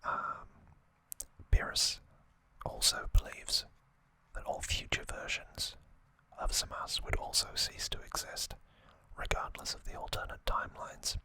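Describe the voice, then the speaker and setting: An ASMR host narrating in a breathy, unvoiced whisper, slow and unhurried, close to the microphone. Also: rough recording. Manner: slow, unhurried, gently repeats words for effect. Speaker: host of an ASMR trivia channel. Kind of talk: whispered ASMR narration, summarizing an anime saga.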